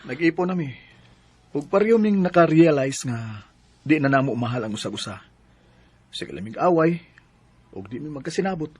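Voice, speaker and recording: An actor playing an eager, smooth-talking young boyfriend in a Cebuano radio drama. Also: male voice, fairly high pitch, animated, moderate pace, long pauses, no echo. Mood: defensive. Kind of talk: Filipino radio drama acting.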